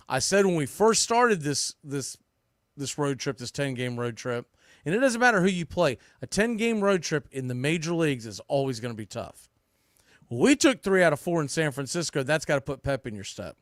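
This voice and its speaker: Man, fairly high voice, dry close sound, opinionated baseball commentary, emphatic repetition, blunt colloquial phrasing. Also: no room sound. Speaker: sports podcast host.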